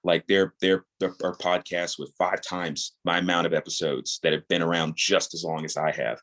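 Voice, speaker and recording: A man's voice: deep voice, little pitch movement, normal speed, fairly bright tone. A man in his thirties speaking as a guest in a casual interview, explaining his creative craft and repeating points for emphasis. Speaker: audio drama creator, podcast guest.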